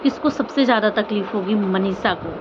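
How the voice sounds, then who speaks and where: A woman's voice: mid-range voice, fairly quick, dull audio, noisy background. A woman vlogger giving informal, earnest opinion commentary, pleading with listeners and repeating phrases and rhetorical questions. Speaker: vlogger commenting on online family drama.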